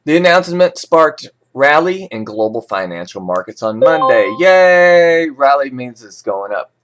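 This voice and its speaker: A man's voice: medium pitch, very animated, measured pace, a bit bright, faint music behind. A man reading financial news as an informal host, breaking into sarcastic, opinionated and folksy asides.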